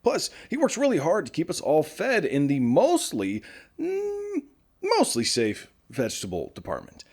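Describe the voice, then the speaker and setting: A man's voice: a little high, a little slow, exaggerated voice. A man playing a chatty, caring morning radio host in a post-apocalyptic audio drama, talking straight to his listeners. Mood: breezy. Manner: conversational, animated, addressing listeners directly.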